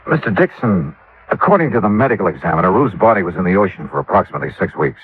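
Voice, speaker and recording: An actor playing a courteous hard-boiled insurance investigator, speaking in a measured, polite way in an old radio detective drama. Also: male voice, deep voice, very animated, slightly rough, full character voice. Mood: probing, businesslike. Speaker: lead investigator and narrator. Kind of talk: 1950s radio detective acting. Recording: tinny vintage radio, band-limited.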